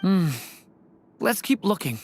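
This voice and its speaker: A woman voicing a gentle, youthful dragonborn boy in a video game, soft-spoken, earnest and thoughtful. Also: medium pitch, big pitch swings, slow, background noise. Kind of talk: video game character dialogue.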